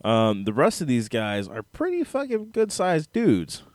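A man hosting a casual podcast with his friends, crude and joking, a little hoarse. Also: mid-range voice, wildly swooping pitch, unhurried, a little mellow, dry recording, studio quality. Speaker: podcast host.

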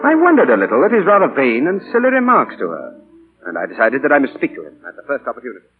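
A man's voice: medium pitch, wildly swooping pitch, rapid-fire. An actor playing a troubled, earnest middle-aged father with a hesitant manner in a 1940s radio drama. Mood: reflective narration. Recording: muffled 1940 radio broadcast with faint music.

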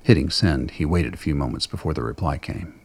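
A man with a gravelly, husky voice narrating a scripted dramatic story. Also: rumbling low, a little fast, darkish tone.

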